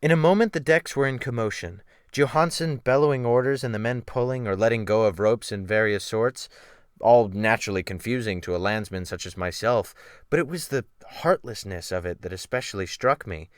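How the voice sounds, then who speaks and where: A man's voice: fairly low pitch, brisk, clean tone, dry recording. A man giving a dramatic, theatrical reading of literary narration, with strong swells of emphasis, close to the microphone. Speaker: audiobook narrator. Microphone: close USB microphone.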